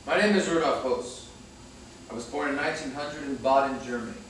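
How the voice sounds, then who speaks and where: A man playing a former Nazi commandant in his forties in a staged reading, reciting his testimony in a formal, measured, matter-of-fact way. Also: mid-range voice, close to an everyday voice. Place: hall, recorded from a distance. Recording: very echoey hall, far mic, loud noise.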